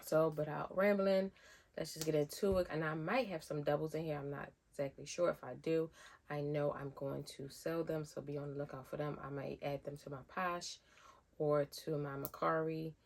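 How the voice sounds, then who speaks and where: A woman's voice: fairly even intonation, moderate pace, vocal fry, a little mellow. A woman vlogger, chatting casually through a sneaker unboxing, a little nasal from a head cold. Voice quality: deep voice. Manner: chatty, rambling with fillers.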